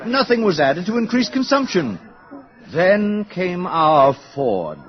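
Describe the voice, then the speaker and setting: A pompous, fawning middle-aged director in an old radio drama. He lectures in a fairly high voice that swings widely in pitch. The recording is dull, like an aged broadcast. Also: big pitch swings, takes it slowly, crowd noise, heavily acted. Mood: smug lecturing. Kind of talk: 1950s radio drama acting.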